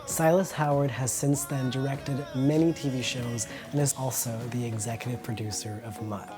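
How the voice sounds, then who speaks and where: Passionate filmmaker voice-over over soft music. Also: mid-range voice, steady tone, normal speed. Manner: passionate, heartfelt. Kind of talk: documentary voice-over.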